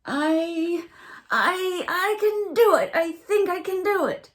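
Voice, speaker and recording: A woman voicing a small, eager young male raccoon in a storybook reading, squeaky and straining. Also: animated, slow pace, full character voice.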